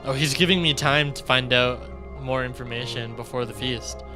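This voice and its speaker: A man's voice: mid-range voice, fairly even intonation, normal speed. A man streaming a game, reading story text aloud and adding his own commentary, with game music behind him. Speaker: streamer playing a visual novel. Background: video game music.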